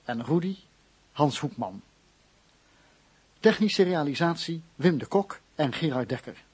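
A man's voice: a little high, lively intonation, a little slow, breathy edge. A man announcing radio drama credits in a formal, scripted style.

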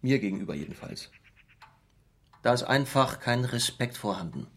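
An actor playing a rigid, indignant middle-aged father and judge in a radio drama, stiff and halting in his speech. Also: medium pitch, expressive, a bit bright. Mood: indignant, strained. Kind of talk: naturalistic radio drama dialogue.

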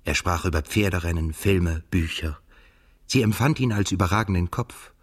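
A male narrator for a radio play with a low voice. He tells the literary story soberly and in measured phrases. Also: breathy edge.

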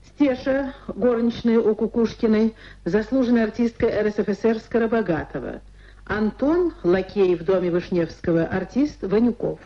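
A woman reading a formal scripted radio announcement with measured enunciation, in the old Soviet broadcast style. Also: medium pitch, dark tone, dull audio.

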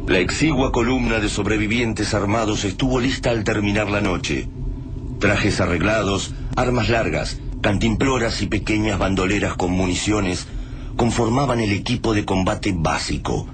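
An actor as the grave storyteller of an apocalyptic tale, narrating a radio drama in an Argentine accent. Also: male voice, on the low side, normal speed, natural voice. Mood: grave, atmospheric. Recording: noisy atmospheric sound bed.

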